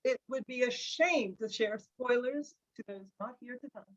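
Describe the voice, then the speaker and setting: A woman's voice: fairly high pitch, normal speed, lo-fi sound, natural voice. A woman acting a pushy, self-important author in her fifties, grand and commanding, in a drama table read. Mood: grandly bossy.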